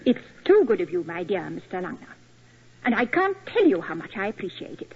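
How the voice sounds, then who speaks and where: A woman's voice: fairly low pitch, sing-song, brisk, slightly rough, heavily acted. An actress playing a refined, eager lady tourist, speaking in gushing, polite 1940s radio acting. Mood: eager politeness. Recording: dull old radio broadcast.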